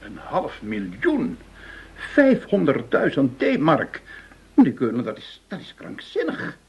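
An actor in a Dutch radio play playing a stiff, indignant, penny-pinching government finance official with a pompous, prim way of speaking. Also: male voice, medium pitch, huge pitch swings, takes it slowly, breathy edge, exaggerated voice. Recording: dull, muffled radio drama recording.

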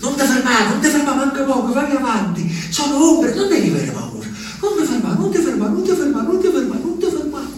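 An actor playing an anguished, simple Italian peasant man, telling his memories in a halting, urgent stage monologue. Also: very high-pitched, sing-song. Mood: frightened, urgent. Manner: halting, repetitive, urgent storytelling. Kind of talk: theatrical monologue. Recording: echoey room, distant mic, faint noise.

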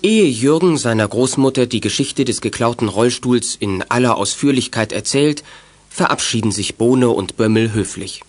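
A man's voice: medium pitch, normal speed, lightly acted. A man narrating a children's audio drama in a scripted storytelling style.

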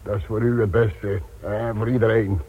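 A loyal village fellow in a radio play, deferential and keen to smooth things over. He sounds lowish and husky, on a thin, phone-like old recording. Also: level tone, normal speed. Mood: nervous, insistent. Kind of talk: radio drama acting.

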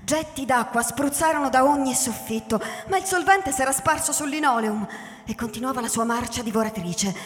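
Female narrator for radio drama, expressive and highish, in deep reverb with music behind. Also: moderate pace. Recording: echoey, long reverb, music underneath.

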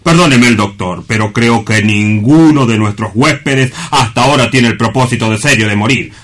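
A man's voice: medium pitch, normal speed, fairly bright tone, close to an everyday voice. An actor playing a gloomy, dutiful assistant in a radio drama, reporting to his superior in a dry, deferential, sombre manner. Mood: doubtful, sombre. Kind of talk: theatrical radio drama acting.

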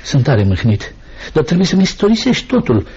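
An actor playing a generous, righteous gentleman employer with a courteous, assured manner in a Romanian radio drama. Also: fairly high pitch, very animated, breathy edge, full character voice. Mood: genial, concerned. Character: genial, righteous, generous gentleman business owner. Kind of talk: Romanian radio theatre acting. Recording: dull lo-fi radio drama recording.

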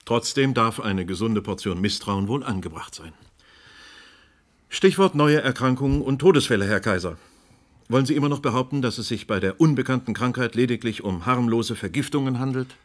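An actor in a radio play as a sceptical radio host, putting pointed, insistent questions. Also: male voice, mid-range voice, brisk, natural voice. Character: sceptical, probing male radio host confronting officials. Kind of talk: radio play acting as a live discussion host.

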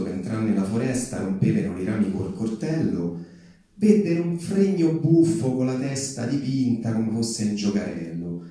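A man's voice: fairly low pitch, dark tone. A man speaking and reading aloud to the public at a live event. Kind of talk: public reading and impassioned activist speech. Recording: echoey hall, recorded from far off.

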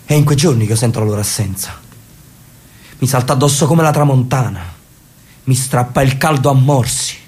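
A man's voice: mid-range voice, brisk, long pauses, a little mellow, close to an everyday voice. A man voicing an elderly, solitary brother in a radio drama, speaking in a brooding, reflective way. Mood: melancholic.

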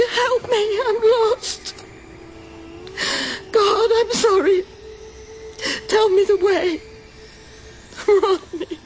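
A woman's voice: very high-pitched, a little mellow, slight background noise. An actress playing a proper middle-aged Englishwoman in a radio drama, with an English accent. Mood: desperate, tearful. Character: smug middle-aged Englishwoman, lost and breaking down.

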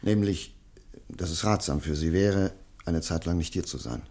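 An actor playing a courteous, worried academic in a radio play, speaking in a hesitant, earnest way over some noise. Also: male voice, low-pitched, moderate pace, a little mellow, no echo. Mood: earnest, concerned. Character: worried, courteous male academic doctor investigating disappearances.

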